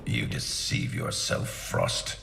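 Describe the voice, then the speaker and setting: A man playing a stern, honorable ninja grandmaster in a video game cutscene, grave and commanding, with a gravelly voice. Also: slow pace, natural voice. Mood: stern, betrayed. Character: stern, honorable male ninja clan grandmaster, former mentor. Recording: echoey, distant, under loud music.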